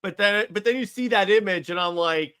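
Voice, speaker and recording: A man's voice: sits high, brisk, pushed and bright, smooth. A man co-hosting a casual fan chat and fielding questions, agreeable and given to repeating himself for emphasis. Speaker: co-host fielding audience questions.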